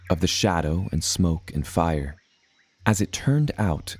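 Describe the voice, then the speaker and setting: An actor playing a reflective seafaring adventurer, narrating his tale in deliberate storybook phrasing for an audio drama. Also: low voice, darkish tone, husky, full character voice. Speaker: first-person narrator.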